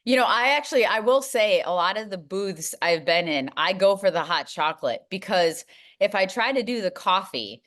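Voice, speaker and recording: A woman's voice: mid-range voice, animated, moderate pace, fairly bright tone. A woman hosting a sports podcast, chatty and upbeat, with self-deprecating humor. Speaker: podcast host. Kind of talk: casual interview hosting.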